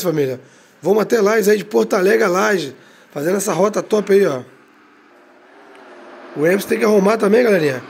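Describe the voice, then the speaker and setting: A man with a Rio de Janeiro accent, hoarse from a cold, giving hyped slangy commentary over a bus game, each phrase ending on a low quiet tag word. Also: a little hiss or hum. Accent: Brazilian Portuguese, Rio de Janeiro. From Brazil. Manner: slangy, drags out catchphrases, tag words drop low and quiet. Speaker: game streamer.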